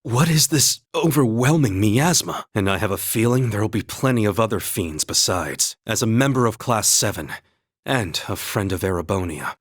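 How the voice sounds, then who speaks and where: Husky, earnest young knight. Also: male voice, lowish voice, lively intonation, dry recording, studio quality. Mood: calm, earnest. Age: young adult. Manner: measured, formal, earnest delivery. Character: earnest young male holy knight, calm, noble spear fighter. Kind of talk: video game voice acting.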